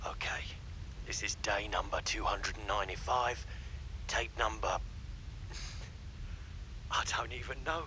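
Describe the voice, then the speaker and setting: A man playing an obsessed, unraveling researcher recording a game audio log, halting and drifting into whispers. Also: lowish voice, steady tone, sharp tone, a little hiss or hum, rough recording. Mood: weary, lost.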